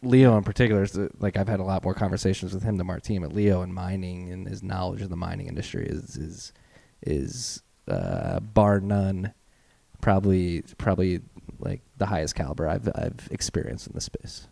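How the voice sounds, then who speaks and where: A man's voice: sits low, normal speed, gravelly, dark tone, no echo. A man hosting a casual podcast interview, talking loosely with lots of fillers. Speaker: podcast host.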